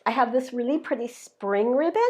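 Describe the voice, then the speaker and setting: A woman's voice: on the high side, normal speed, warm tone. Chatty woman demonstrating crafts. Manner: chatty, upbeat step-by-step explaining. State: slightly losing her voice. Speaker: craft demonstrator, show host. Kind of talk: live-streamed craft tutorial.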